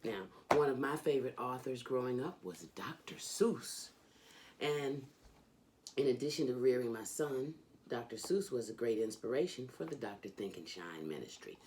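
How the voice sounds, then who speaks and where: A woman hosting a children's read-along, reading a storybook aloud in an animated, sing-song storytelling manner. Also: a bass voice, moderate pace, a little hiss or hum.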